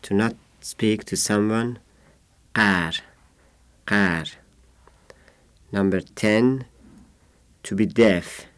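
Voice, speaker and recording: A man of thirty-five from Yazd with an Iranian accent, a native Persian speaker, reading out a numbered word list in a deliberate way. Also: lowish voice, a bit bright, smooth, no room sound. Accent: Iranian (Yazdi). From Yazd, Iran. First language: Persian (Yazdi dialect). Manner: deliberate, isolated words with numbered glosses. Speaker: language informant.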